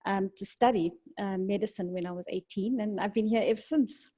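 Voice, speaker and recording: A woman from South Africa with a South African accent, speaking as a doctor and podcast guest in a reflective, halting conversational way. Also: mid-range voice, steady tone, warm tone, slightly rough.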